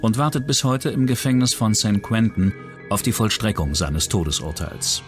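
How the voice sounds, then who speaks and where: A man's voice: fairly low pitch, normal speed. A man reading scripted true-crime documentary narration in pronunciation. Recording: music bed under the voice. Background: background music.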